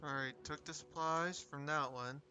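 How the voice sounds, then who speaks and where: Guy streaming a game, kinda high sharp voice, just chatting while he plays. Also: fairly even intonation, moderate pace. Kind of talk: casual live gameplay commentary.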